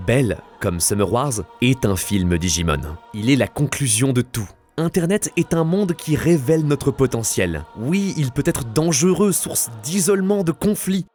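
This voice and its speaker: A young man narrates a scripted video essay in a mid-pitched voice. His delivery is earnest and dramatic, with faint music underneath. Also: animated, normal speed, a little mellow. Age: 20s.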